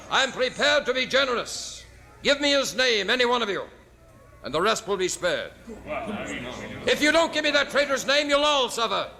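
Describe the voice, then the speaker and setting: Imperious Roman officer, high raised voice. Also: male voice, vocal fry, bright, forceful tone, full character voice. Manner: declamatory, imperious, threatening. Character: enraged Roman officer threatening a crowd, commanding and ruthless. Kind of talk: old-time radio drama acting. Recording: old radio recording with crowd murmur.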